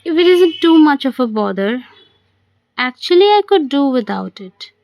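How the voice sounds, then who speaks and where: A woman's voice: sits high, big pitch swings, moderate pace, no room sound, muffled recording. A woman reading the part of a playful, cajoling young man in an amateur audio play. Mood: wry.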